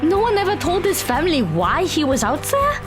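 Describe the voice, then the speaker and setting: A woman's voice: extremely high pitch, wildly swooping pitch, moderate pace, exaggerated voice. An actress playing a wry, level-headed teenage girl with a dry, sarcastic manner in an audio drama. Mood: teasing. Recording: loud music behind the voice.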